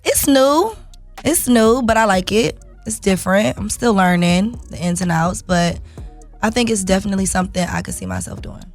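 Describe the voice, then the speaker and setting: Raspy-voiced woman rapper, laid-back. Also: medium pitch, expressive, moderate pace, soft background music. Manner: laid-back, speaks in runs of short lists. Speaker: guest rapper. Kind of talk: casual interview chat.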